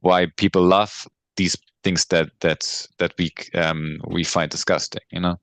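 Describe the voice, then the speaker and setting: A man's voice: sits low, little pitch movement, unhurried, a bit bright, dry recording. A German man whose first language is German, hosting a casual podcast talk and asking questions with plenty of hedging fillers. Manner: questioning, hedging with lots of "you know" and "um". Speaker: podcast host. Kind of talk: casual conversation.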